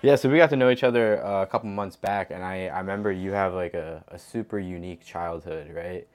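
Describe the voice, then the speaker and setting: Deep-voiced energetic podcast host. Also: male voice, a little fast. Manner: energetic, motivational, many filler likes. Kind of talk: podcast hosting and interviewing.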